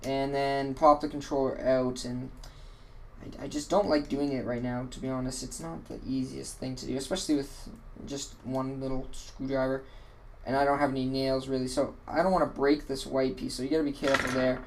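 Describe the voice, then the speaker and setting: A man's voice: mid-range voice, little pitch movement, brisk, a little hiss or hum. A man hosting a casual how-to walkthrough, talking in a rambling, offhand way and correcting himself often, his voice tired and a little worn. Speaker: tutorial host.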